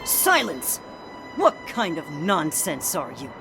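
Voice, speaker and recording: Suspicious female soldier: gruff, unhurried, on the low side, over violin music. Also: wildly swooping pitch, exaggerated voice. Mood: scornful. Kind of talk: video game voice acting. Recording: game music behind the voice. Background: orchestral violin music.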